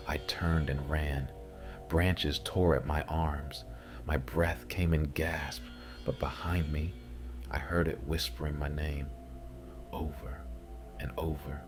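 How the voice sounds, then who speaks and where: A man narrating a scripted first-person horror story in a measured, ominous way, with music behind him. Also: a bass voice. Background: music bed.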